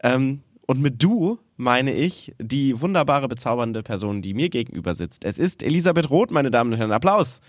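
A man's voice: mid-range voice, animated, normal speed, few pauses. Joking man hosting a podcast. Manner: joking, playful banter. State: stuffy with a cold. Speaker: podcast host. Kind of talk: casual chat.

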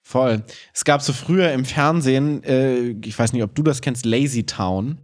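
A man's voice: medium pitch, moderate pace. A man co-hosting a podcast, chatting casually in youthful slang with English words mixed in. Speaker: podcast co-host, improv performer.

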